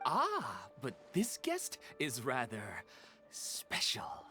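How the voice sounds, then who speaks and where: A woman voicing a solemn, mysterious host in a video game, slow, hushed and formal. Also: a bass voice, huge pitch swings, exaggerated voice. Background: game music.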